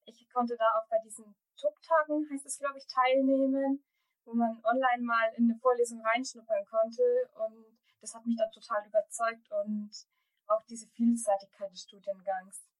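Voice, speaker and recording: A woman in her twenties from Upper Franconia, a student speaking in a brisk, matter-of-fact way. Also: fairly high pitch, level tone, poor audio. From Upper Franconia, Germany. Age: 20s. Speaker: student guest. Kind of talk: casual interview talk.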